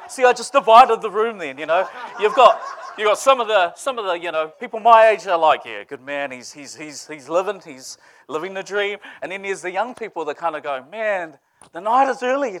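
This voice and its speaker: A man from Samoa, speaking, gives a chatty, story-driven sermon. His voice sits high and he jokes and laughs freely with the congregation. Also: moderate pace. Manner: joking, animated, laughs with the room. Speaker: preacher.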